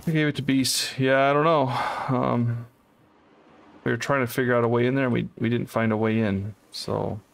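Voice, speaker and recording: A man's voice: medium pitch, fairly even intonation, moderate pace, vocal fry. A man casually commenting on a co-op game as he plays, thinking aloud and unsure as he works through a puzzle. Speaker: co-op game let's player.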